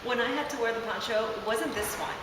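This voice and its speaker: A woman's voice: fairly high pitch, quick pace. A woman from Ecuador hosting a casual, chatty fashion interview with gushing enthusiasm. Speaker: host presenting a fashion line. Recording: echoey, noisy hall from far off.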